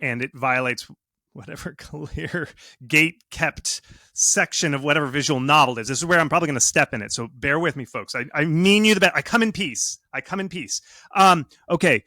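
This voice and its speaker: A man giving advice as a guest expert in a casual interview, speaking in an animated, informal, self-deprecating way. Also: on the high side, fairly quick, dry recording, clean studio sound. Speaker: guest game marketing expert. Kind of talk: casual interview talk, explaining and advising.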